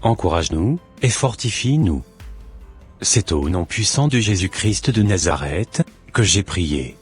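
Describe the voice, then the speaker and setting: Casting: male actor as devout, forgiving cartoon husband. Low-pitched, earnest, measured delivery, light music underneath. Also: animated, husky, heavily acted. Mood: solemn, prayerful.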